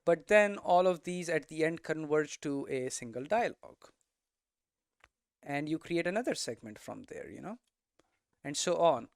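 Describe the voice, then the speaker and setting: Clear, higher-pitched man explaining informally. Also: animated, a little slow, dry recording, studio quality. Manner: conversational, thinking aloud, frequent "you know" and "right?" tags. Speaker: game development streamer and teacher.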